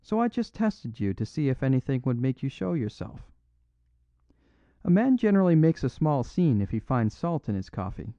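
A man voicing a humble little priest who speaks in a plain, unaffected, gently apologetic way. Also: medium pitch, big pitch swings, normal speed, no room sound, lo-fi sound, voice pushed up. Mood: gentle.

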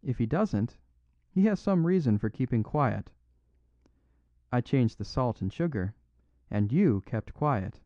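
A man voicing a humble little priest who speaks in a plain, unaffected, gently apologetic way. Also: mid-range voice, expressive, measured pace, pauses often, no room sound, lo-fi sound. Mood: gentle.

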